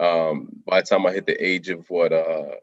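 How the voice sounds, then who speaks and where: Deep-voiced Jamaican-born man, unhurried. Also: fairly even intonation, dry recording, muffled recording. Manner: soft-spoken, unhurried, frequent ums and throat clearing. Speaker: guest, contractor. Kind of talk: candid life-story interview.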